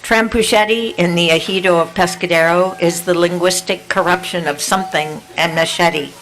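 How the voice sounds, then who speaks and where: An older woman reading her own poetry aloud at an event, measured and unhurried, then chatting loosely with fillers between pieces. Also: fairly low pitch, steady tone, rough recording. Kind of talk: poetry reading and spoken introduction. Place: indoor venue with an audience.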